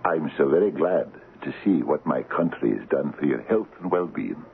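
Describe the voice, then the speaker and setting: An actor playing a cautious, kindly doctor in a 1970s radio drama, hesitant and measured. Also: male voice, extremely low pitch, animated, vocal fry, full character voice. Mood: gentle, friendly. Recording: muffled, hissy old radio broadcast.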